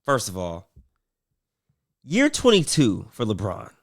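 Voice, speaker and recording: Casual male streamer, slightly low voice, cold-worn, rambling basketball chat. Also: slow, gravelly. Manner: relaxed, rambling, many fillers and restarts. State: getting over a cold. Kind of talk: casual commentary.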